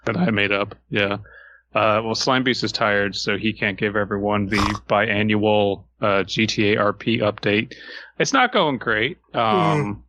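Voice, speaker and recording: Wry rambling man with a bright, forceful voice. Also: fairly low pitch, a little slow, slight background noise. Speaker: podcast co-host. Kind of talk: casual comedic chat.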